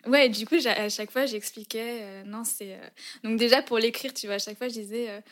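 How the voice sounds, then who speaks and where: Chatty young Frenchwoman, high voice. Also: steady tone, a little fast. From France. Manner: chatty, laughs often, trails off with fillers. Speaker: podcast co-host. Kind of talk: casual chat.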